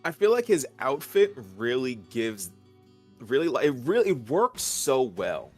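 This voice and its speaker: A man as a guest on a stream, chatting casually in an animated, informal way. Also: mid-range voice, a little slow, faint music behind. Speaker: guest on a game discussion stream. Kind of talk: casual chat.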